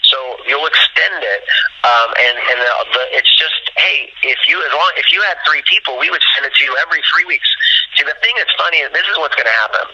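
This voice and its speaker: An American man leading a training call over the phone, explaining informally in the manner of a business pitch, with examples and rhetorical questions. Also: brisk, sharp tone. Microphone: telephone. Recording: muffled phone conference line, faint noise.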